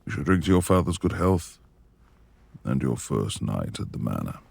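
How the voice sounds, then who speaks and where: A man playing a brooding, reclusive beast of a manor lord in a romantic ASMR roleplay, gruff yet tender, with gravelly, breathy speech and formal old-fashioned phrasing. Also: extremely low pitch, sing-song, moderate pace, darkish tone, slight background noise, full character voice. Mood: tender, courteous.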